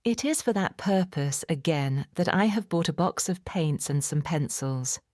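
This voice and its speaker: Low-voiced woman reading with lively intonation. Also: unhurried, no echo, studio quality. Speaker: audiobook narrator. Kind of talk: expressive bedtime storybook narration.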